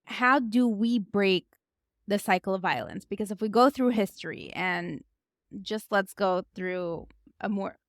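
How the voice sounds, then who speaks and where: Woman, podcast interviewer, conversational, tentative, searching for words, middle pitch, dry recording. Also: a little slow, no room sound.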